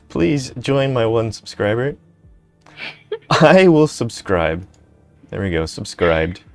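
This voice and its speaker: A man's voice: mid-range voice, sing-song, soft background music. A man co-hosting a casual live stream, laughing often and reading chat and articles aloud. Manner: laid-back, laughing often, reads aloud haltingly. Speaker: streamer co-host.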